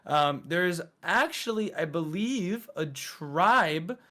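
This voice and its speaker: A young man speaks as the guest in a casual interview, easygoing and full of slang, eagerly listing things. His voice sits a bit on the higher side for a man. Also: expressive, slow, sharp tone. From Rhode Island, raised near Philadelphia. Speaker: guest streamer.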